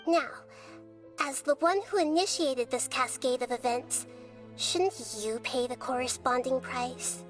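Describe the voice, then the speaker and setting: A wise, childlike goddess for a video game in a high voice. She is composed and shrewd, choosing her words deliberately and with quiet firmness. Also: steady tone, faint music behind. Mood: firm, challenging.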